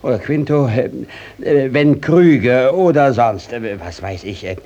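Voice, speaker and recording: An actor playing a shifty, flustered village judge who stammers and blusters in a classical German radio play. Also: male voice, medium pitch, animated, a little slow, dark tone, dull audio, lightly acted. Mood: flustered, evasive. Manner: stammering, hesitant, evasive, wheedling.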